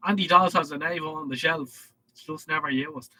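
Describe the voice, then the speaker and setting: A man's voice: on the high side, moderate pace, sharp tone, dry recording, poor audio. A man with an Irish accent and a head cold, hosting a casual live stream and reading out viewer comments in a rambling way. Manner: rambling, reads chat messages aloud.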